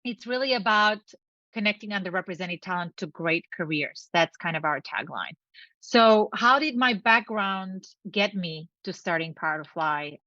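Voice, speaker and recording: A woman's voice: mid-range voice, lively intonation, normal speed, pushed and bright, dry recording, highs cut off. A middle-aged woman from Bulgaria, a company founder, talking earnestly and reflectively as an interview guest over a video call. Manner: earnest, reflective, speaks in long lists. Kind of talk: interview conversation.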